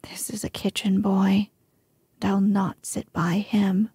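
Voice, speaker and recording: A woman's voice: medium pitch, level tone, slow pace, dark tone, slightly rough, dry recording. A woman voicing a scornful, haughty young damsel in a whispered storybook reading. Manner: whispered, sneering.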